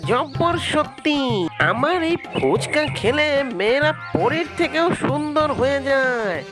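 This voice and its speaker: A woman's voice: high-pitched, lively intonation, unhurried, over music. A woman voicing a sly, boastful fox street-food seller in a children's cartoon, with wheedling salesman patter. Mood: boastful, coaxing. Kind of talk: children's cartoon character acting.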